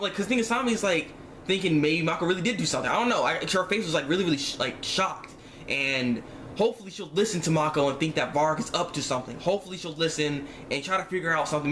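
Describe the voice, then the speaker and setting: A man giving a casual, rambling review of an animated series episode in slangy, exasperated speech with emphatic repetition. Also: on the high side, slight background noise. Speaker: online reviewer.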